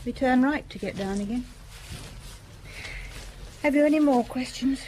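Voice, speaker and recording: A woman's voice: sits high, unhurried, warm tone, slight background noise, low-quality recording. An actress playing a bold, cool married woman in a radio drama, frank, controlled and provocative. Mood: bold, seductive.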